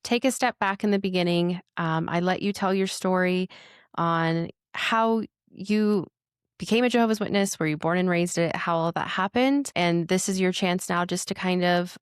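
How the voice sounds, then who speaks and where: Smooth low-voiced woman hosting an interview. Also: moderate pace, no echo, clean studio sound. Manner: encouraging, gentle. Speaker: host. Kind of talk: warm interview intro.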